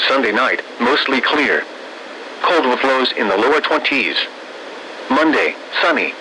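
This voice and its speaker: Bright synthetic male weather radio announcer. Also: mid-range voice, sing-song. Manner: formal, measured, synthetic phrasing. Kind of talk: scripted weather bulletin read-out. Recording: noisy weather radio broadcast.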